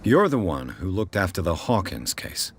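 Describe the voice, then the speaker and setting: An actor playing a brooding, blunt private detective in a video game, with a dry, pointed, questioning delivery. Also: male voice, low-pitched, big pitch swings, normal speed. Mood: skeptical, probing. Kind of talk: scripted game dialogue. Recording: clear background noise under the voice.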